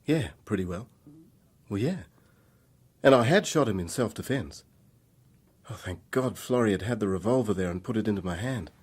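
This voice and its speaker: A man's voice: fairly low pitch, expressive, moderate pace, long pauses, a little mellow, breathy edge. An actor in a radio drama playing a troubled young man on the run, speaking in a hesitant, confessional way. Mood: uneasy, confessional.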